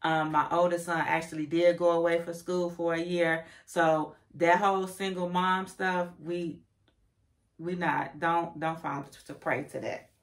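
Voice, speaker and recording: A woman's voice: lowish voice, flat delivery, measured pace. A woman, chatting casually to camera about her life, slangy and self-correcting. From Chicago, South Side. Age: 40s. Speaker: vlogger. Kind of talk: casual get-to-know-me chat.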